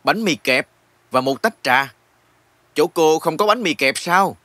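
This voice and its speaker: A man playing a kind, patient human guide in dubbed fantasy film dialogue, explaining things gently. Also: on the high side, lively intonation, measured pace, exaggerated voice. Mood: friendly, curious.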